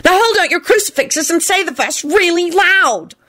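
A woman voicing a bossy, haughty girl ringleader in a storybook, with a shrill, shouting manner. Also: sing-song, measured pace, exaggerated voice. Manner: shouting, sniffy, unkind.

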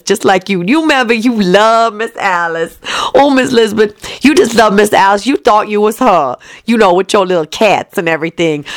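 A woman's voice: mid-range voice, vocal fry, no echo, clean studio sound, voice pushed up. A woman voicing a chatty, doting nanny who talks in a folksy, gossipy way.

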